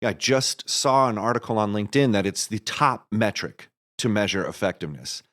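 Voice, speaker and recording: Male podcast guest, slightly deep, conversational and thoughtful, halting explainer. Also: lively intonation, a bit bright. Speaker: guest, composer and marketer. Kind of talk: casual interview explanation.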